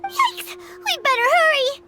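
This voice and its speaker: A woman voicing a tiny, excitable, childlike fairy companion in an anime video game, squeaky and exclamatory. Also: slow, over loud music, full character voice. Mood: excited. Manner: exclamatory, speaks of self in third person.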